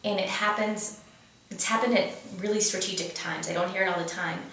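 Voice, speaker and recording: A woman's voice: medium pitch, steady tone, brisk, fairly bright tone. A woman guest explaining her wellness program in earnest, casual conversation. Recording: echoey room, distant mic.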